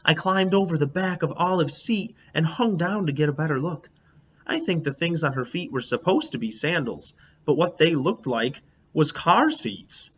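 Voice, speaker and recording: A man reading a children's storybook aloud as a teacher, in an expressive, animated, emphatic way. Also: on the high side, normal speed, dull audio, a little hiss or hum. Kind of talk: expressive storybook read-aloud in a child narrator's first person.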